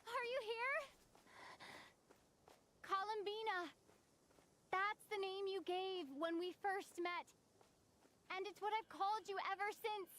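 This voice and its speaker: A woman voicing a gentle, caring woman in a video game, soft and tender as she reaches out to a friend. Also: extremely high pitch, slow, pauses often, exaggerated voice.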